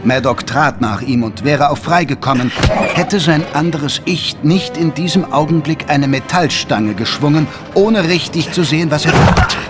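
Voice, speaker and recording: A man's voice: fairly high pitch, animated, moderate pace. Male narrator over dramatic-story music.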